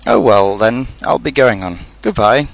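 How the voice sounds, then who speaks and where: A man's voice: mid-range voice, measured pace, creaky, dry recording, low-quality recording. A man voicing a greedy, anxious, muddled toy bear from a storybook, plaintive and earnest. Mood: hopeful, polite. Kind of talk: storybook character reading.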